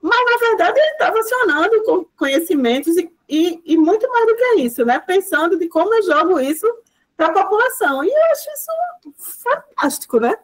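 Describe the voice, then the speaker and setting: A woman's voice: very high-pitched, normal speed, rough recording. A woman from telling stories in a classroom talk, full of fillers and acted-out quotes. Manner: lively, many fillers, acts out quoted speech. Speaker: guest lecturer. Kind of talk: animated classroom storytelling.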